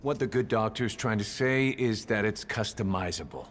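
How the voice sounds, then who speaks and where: Man playing a deep, blunt military officer. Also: normal speed, a little hiss or hum, close to an everyday voice. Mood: dry, insistent. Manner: dry, blunt. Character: hard-edged, pragmatic male military second-in-command. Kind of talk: video game cutscene acting.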